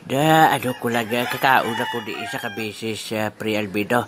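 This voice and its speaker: A man playing a boisterous, excitable customer in an amateur audio drama, exclaiming and stammering. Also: lowish voice, moderate pace, noisy background, close to an everyday voice.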